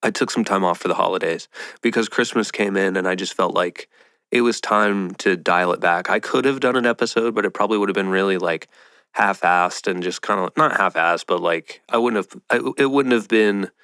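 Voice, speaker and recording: Low, nasal man with a head cold. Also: fairly quick, a bit bright, smooth, no room sound, clean studio sound. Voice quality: nasal, congested. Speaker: podcast host. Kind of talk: casual solo podcast chat.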